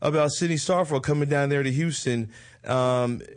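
A man's voice: fairly high pitch, moderate pace. A man hosting a talk show in a casual, conversational style.

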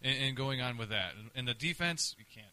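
Fast-talking man with a sharp, forceful voice. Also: mid-range voice, lively intonation, no room sound. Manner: joking, wry. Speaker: sports podcast co-host. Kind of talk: casual chat.

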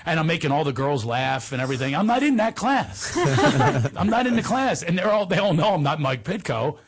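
A deep-voiced man telling funny stories in a casual chat, laughing often and acting out the voices of the people in them. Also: big pitch swings, normal speed, slightly rough. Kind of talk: comic storytelling chat.

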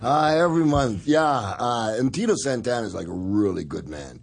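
Older man with a husky voice. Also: mid-range voice, lively intonation, normal speed. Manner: gravel-edged, laughing, swaggering anecdotes. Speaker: podcast host. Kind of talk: casual storytelling chat.

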